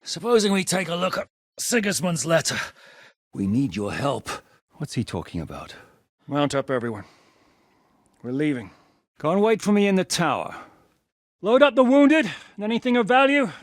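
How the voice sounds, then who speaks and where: An actor playing a gruff, battle-hardened rebel commander in a video game, barking orders, swearing and mocking his foes. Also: high voice, very animated, normal speed, long pauses, dry recording, studio quality. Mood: commanding.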